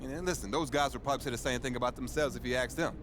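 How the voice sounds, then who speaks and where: A man's voice: mid-range voice, very fast, lightly acted. A man playing a confident, easygoing champion basketball star, answering reporters in a casual, colloquial way. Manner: relaxed, colloquial, chuckling, self-assured. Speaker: pro athlete at a press conference. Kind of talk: casual press-conference answers. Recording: noisy outdoor crowd, street ambience.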